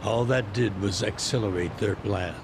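A man voicing a gravelly old ex-yakuza boss. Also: fairly low pitch, noisy background, close to an everyday voice. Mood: calm, reflective. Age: older. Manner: slow, measured, reflective. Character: older retired male yakuza boss, weary and fatherly. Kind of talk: video game cutscene dub.

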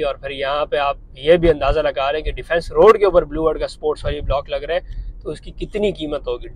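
A man's voice: fairly high pitch, a little hiss or hum, poor audio. A man in his thirties from Pakistan, talking viewers through a property site while driving, in a chatty salesman's tone with a lapel mic inside a car. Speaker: property dealer vlogger. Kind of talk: driving tour commentary.

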